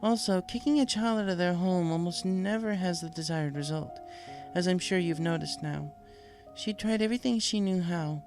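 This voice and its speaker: A man voicing a gracious, wise female pony princess from a storybook, polite, measured and gently frank. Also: high voice, faint music behind, voice pushed up. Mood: gently stern. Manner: polite, measured, soothing and formal. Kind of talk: storybook character voice.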